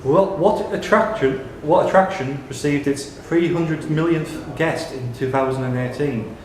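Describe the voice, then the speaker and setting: A man's voice: a little high, unhurried, darkish tone. Echoey male pub quiz question reader. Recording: very echoey room with background noise.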